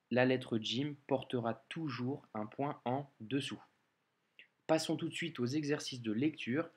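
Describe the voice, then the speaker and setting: A man whose first language is French teaches reading Arabic in a patient online lesson. He explains step by step, stopping often with small hesitations. His voice sits at an ordinary middle pitch. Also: husky. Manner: patient, explains slowly, many hesitations and "euh".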